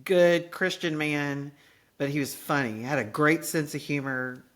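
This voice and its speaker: A woman's voice: sits low, steady tone, a little slow. A woman in her late fifties, a podcast host in casual conversation, chatty and goofy, often laughing at herself. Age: 50s.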